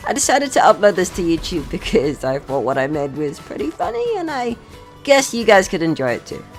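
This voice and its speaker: A young narrator tells a scripted story with a mock-serious manner. The pitch sits in a middle range and swings in a lively way, with music playing behind the voice. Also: brisk. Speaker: video creator narrating own short film.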